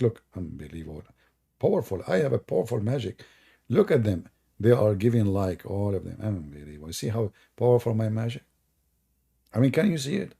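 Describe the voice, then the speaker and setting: A man's voice: lowish voice, gravelly, warm tone, breathy edge. A man with an Arabic accent, a native Arabic speaker, hosting a live stream in a sarcastic, teasing manner. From Middle East. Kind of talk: mocking commentary on a live stream.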